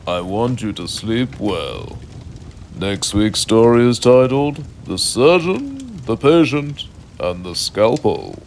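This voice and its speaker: A man's voice: medium pitch, sing-song, takes it slowly, a little mellow, slight background noise. A British man narrating a mock bedtime story in an avuncular, mock-cosy way.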